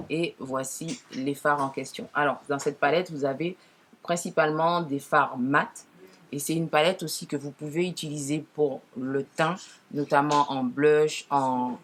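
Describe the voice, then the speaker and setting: A woman with a naturally deep voice, lowered further by a cold, chats casually to viewers as she presents makeup, often correcting herself. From Martinique. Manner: informal, frequent self-corrections. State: deeper, hoarse-sounding from a cold. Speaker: makeup tutorial host.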